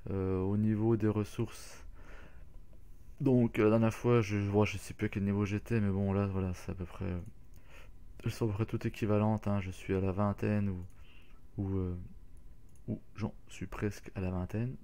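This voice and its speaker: A man's voice: fairly low pitch, level tone, normal speed, warm tone. A man hosting a casual game walkthrough, talking hesitantly with many fillers and restarts. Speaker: gaming channel host.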